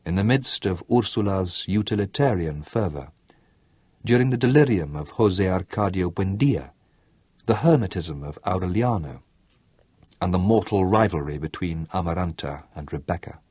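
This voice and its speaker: A man reading a novel aloud as an audiobook narrator, measured and storytelling, with slow dramatic pauses. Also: sits low, expressive, darkish tone, slightly rough, dry recording. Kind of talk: scripted literary narration.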